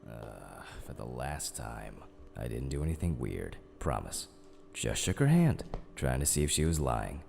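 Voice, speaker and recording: Very deep, gravelly scheming man voiced by an actor. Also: sing-song, measured pace, hardly any pauses, darkish tone, exaggerated voice. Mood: defensive. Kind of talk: audio drama monologue. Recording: long hall reverb under music.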